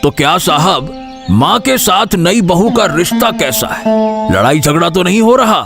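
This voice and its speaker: A man's voice: sits high, lively intonation, unhurried, gravelly, over loud music, heavily acted. A man voicing a nosy, know-it-all household gossip in a cartoon story, prying and insinuating. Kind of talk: Hindi cartoon story acting.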